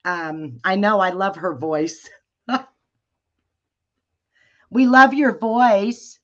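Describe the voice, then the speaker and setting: A woman with a deep voice hosting a casual live chat, talking hesitantly with many restarts. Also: drawn-out words, highs cut off. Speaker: livestream host.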